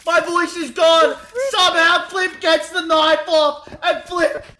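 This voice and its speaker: A man calling an esports match with a high, excitable voice that keeps climbing into shouts at the big plays, going hoarse as he goes. He sounds like he's in an echoey room, and there's clear noise running behind him. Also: slow pace. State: losing his voice. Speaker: esports caster. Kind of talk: play-by-play esports commentary.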